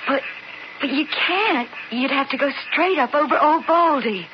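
An actress playing a sheltered young rancher's daughter in love, earnest, anxious and questioning, in a radio drama. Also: female voice, sits high, unhurried. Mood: anxious, resolute. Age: young adult. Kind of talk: old-time radio drama acting. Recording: muffled, noisy old radio recording.

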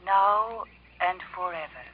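A yearning lovesick lady, soft n earnest, dull old radio sound with a bit of hiss. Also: lowish voice, takes it slowly. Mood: tender, yearning. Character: tender, remorseful woman reading her love letter. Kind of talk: 1950s radio drama acting. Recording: muffled, faintly noisy old radio recording.

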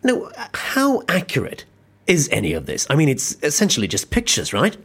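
A man's voice: fairly high pitch, wildly swooping pitch, normal speed, a bit bright, slightly rough, exaggerated voice. An actor playing a weary, sceptical, grumbling modern man in an audio drama. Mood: sceptical, sarcastic. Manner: sarcastic, muttering.

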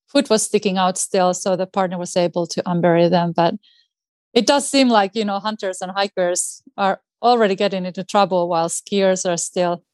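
A middle-aged woman with a Finnish accent talks casually as a podcast guest. Her voice sits at an average pitch, in a dry recording free of room echo. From Finland. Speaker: podcast guest, outdoor educator. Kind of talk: casual interview chat.

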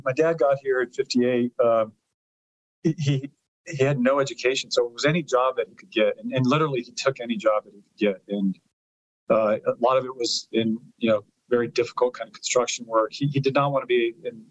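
A man's voice: mid-range voice, no echo, lo-fi sound. Rambling middle-aged man telling family stories. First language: Italian. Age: 50s. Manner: rambling, repeats words while finding his thought. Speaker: guest, lawyer and author. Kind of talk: storytelling interview.

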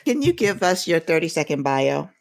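Low-voiced woman hosting a podcast. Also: sing-song, normal speed, gravelly. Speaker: podcast host. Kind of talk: interview podcast.

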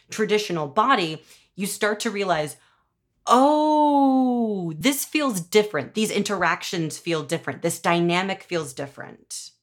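A woman hosting a podcast, chatting casually in an animated, emphatic and enthusiastic way. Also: on the low side. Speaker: podcast host and audio drama showrunner. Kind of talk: casual interview chat.

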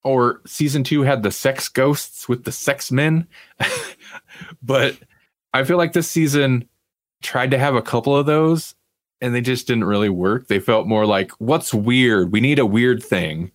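A man's voice: medium pitch, measured pace, no echo, clean studio sound. A man co-hosting a TV review podcast, chatting casually in a rambling, opinionated way with frequent asides. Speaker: podcast co-host reviewing TV series. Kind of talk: casual chat.

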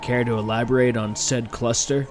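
A man's voice: medium pitch, flat delivery, moderate pace, natural voice. A man playing a wry, dogged older police detective in an audio drama, folksy and laconic. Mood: wry, gruff. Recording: loud music under the voice. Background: loud music.

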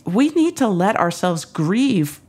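A woman's voice: mid-range voice, big pitch swings, takes it slowly, a little mellow. Female academic, mid-range voice, informal interview commentary. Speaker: Shakespeare scholar, university teacher.

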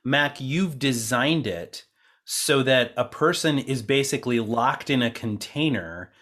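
A man's voice: medium pitch, a little slow, fairly bright tone. A man interviewing colleagues in a casual, conversational way. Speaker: interviewer and co-producer.

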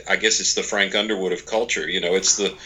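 A man in his forties with a fairly low, bright and forceful voice. He talks as an interview guest, explaining his points in a folksy, emphatic way and slipping into little quoted asides. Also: fairly even intonation, fast talker, low-quality recording. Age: 40s. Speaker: podcast guest, author and organization leader. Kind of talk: conversational interview, explaining workplace ideas.

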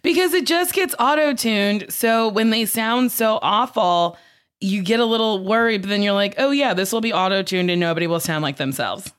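A woman's voice: mid-range voice, lively intonation, moderate pace, clear, resonant tone. A young adult woman with a scratchy voice, a podcast guest chatting loosely and jokingly, with some swearing. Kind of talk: casual chat.

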